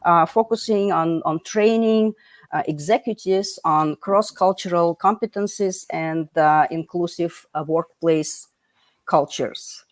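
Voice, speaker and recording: A woman's voice: lowish voice, a little slow, no room sound. A woman hosting a live online talk over a video call, chatty, with many fillers. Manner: chatty, filler-heavy, with many "you know"s. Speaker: livestream host.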